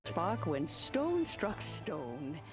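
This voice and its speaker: An actress playing a wise, ancient female immortal who recounts a legend in a measured, formal, solemn and fond way, as game cutscene narration. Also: lowish voice, huge pitch swings, music in the background, full character voice. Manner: measured, formal, stately storytelling.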